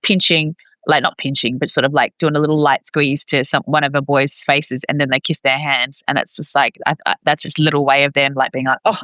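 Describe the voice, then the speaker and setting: Female podcast guest, chatty and expressive, bright forward voice, dry close recording. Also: rumbling low, fairly quick, hardly any pauses, dry recording. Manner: chatty, expressive, acts out reported dialogue. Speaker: podcast guest, expat parent. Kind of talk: casual conversational interview.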